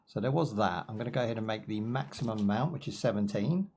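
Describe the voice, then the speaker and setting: A man's voice: lowish voice, animated, fast, a little mellow, slight background noise. A young British man chatting casually while he plays a game live, rambling through jokes, asides and fillers. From United Kingdom. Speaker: gaming streamer. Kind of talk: casual live gameplay commentary.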